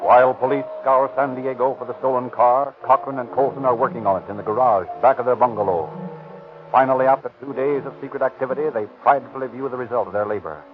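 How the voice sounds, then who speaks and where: A man giving formal, measured scripted narration for a 1930s radio crime drama. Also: medium pitch, fairly even intonation. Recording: tinny old radio recording with music.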